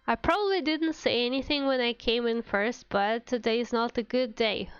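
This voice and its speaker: A woman's voice: a little high, normal speed, clean tone, no echo, dull audio. A woman streamer chatting casually with her viewers while playing a game, sounding a little under the weather. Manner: chatty, addresses viewers by name. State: sick with a cold. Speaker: VTuber streamer.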